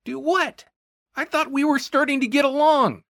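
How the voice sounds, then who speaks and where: A woman's voice: high voice, fairly even intonation, normal speed, a bit bright, no room sound, studio quality, pitched above the performer's own voice. A woman voicing a puzzled, easygoing young man who sounds bewildered and defensive in an audiobook. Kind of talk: audiobook character voice.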